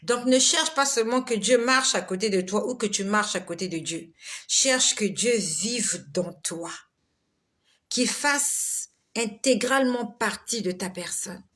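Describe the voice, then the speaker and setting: Bright-voiced woman in her forties. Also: fairly high pitch, unhurried. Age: 40s. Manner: exhorting, laughs often, chatty. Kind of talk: casual live devotional talk reading viewer comments.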